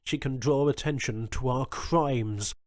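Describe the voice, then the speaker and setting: A man voicing a calm, firm, dismissive male pirate lieutenant. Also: mid-range voice, unhurried, no echo, natural voice. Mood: cold.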